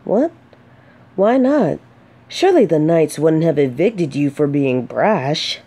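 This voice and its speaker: Slow-speaking, mellow female knight acted by a woman. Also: deep voice, sing-song, breathy edge, full character voice. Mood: concerned. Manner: soft, hesitant, earnest, dramatic pauses. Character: gentle, earnest female knight consoling a despairing blind companion. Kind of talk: scripted fantasy romance audio roleplay.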